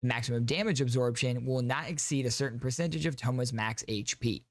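A man's voice: medium pitch, brisk, no echo. A young man hosting a gaming channel with enthusiastic commentary, speaking into a close microphone at home. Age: young adult. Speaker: YouTube gaming host. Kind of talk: upbeat game reaction commentary. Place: small home streaming room, indoors. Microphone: close studio microphone on a boom arm.

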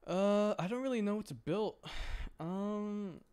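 A man's voice: high-pitched, unhurried, darkish tone, smooth. A man chatting casually on a game livestream, rambling and often repeating his words as he reacts to viewers. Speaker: game streamer.

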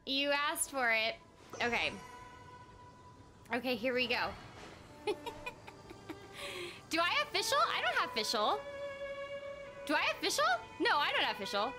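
An excitable, giggly young woman chatting casually on a live game stream, often repeating her phrases, close on a desk microphone. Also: high voice, slow, long pauses. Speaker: streamer and voice actress. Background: game music.

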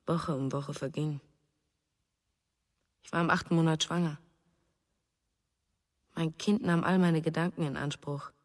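A low-voiced, grieving young wife. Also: female voice, steady tone, a little slow, pauses often, in a large hall. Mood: grieving, restrained. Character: grieving, restrained young mother with a missing husband. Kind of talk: German radio drama acting.

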